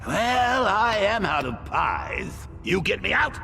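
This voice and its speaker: Giddy, high, gravelly clown supervillain. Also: male voice, big pitch swings, slow, sharp tone, soft background music, full character voice. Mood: eager bargaining. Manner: theatrical, sing-song, gleeful. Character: manic, childish clown supervillain.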